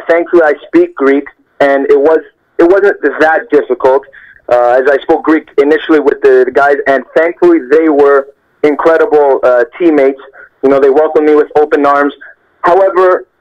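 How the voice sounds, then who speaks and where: Earnest young man on a muffled phone line. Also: fairly high pitch. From Canada. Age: young adult. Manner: earnest, repeats phrases for emphasis. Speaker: interview guest, professional footballer. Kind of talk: phone interview, reflective storytelling.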